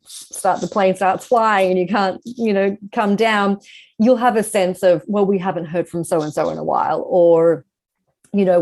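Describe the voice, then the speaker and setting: A woman's voice: fairly low pitch, darkish tone. Woman author chatting in an interview. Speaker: guest author. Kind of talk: casual interview chat.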